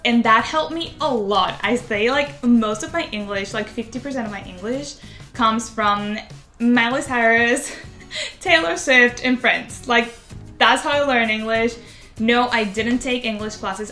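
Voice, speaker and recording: Chatty young Venezuelan woman, bright voice. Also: on the high side, reverberant. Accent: Venezuelan Spanish. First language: Spanish. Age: 20s. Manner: chatty, rambling, heavy use of "like". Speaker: vlogger. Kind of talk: casual chat to camera.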